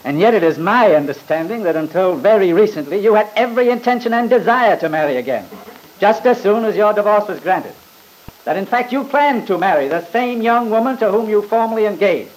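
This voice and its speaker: A man's voice: sits high, rough recording. A man playing a pompous, badgering attorney in an old radio drama, formal and sarcastic. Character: scornful, badgering male attorney, pompous and theatrical.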